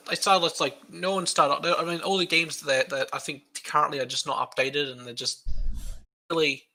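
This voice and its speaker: A man's voice: fairly high pitch, sharp tone. An Australian man who is a guest on a podcast, talking casually and rambling through long explanations.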